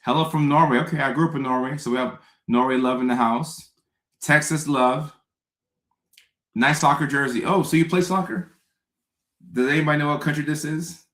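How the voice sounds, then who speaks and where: A man's voice: on the high side, moderate pace, pauses often, fairly bright tone. Upbeat man chatting on a live stream. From Ghana. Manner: upbeat, greets viewers by name, repeats phrases. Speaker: comedian hosting a live stream. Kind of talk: casual live-stream chat reading viewer comments. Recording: echoey room.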